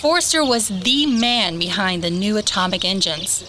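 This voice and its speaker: A woman's voice: medium pitch, lively intonation, moderate pace, bright, forceful tone. A woman acting in a radio drama as an eager, chatty, admiring young woman who likes to insist. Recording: noisy radio play recording.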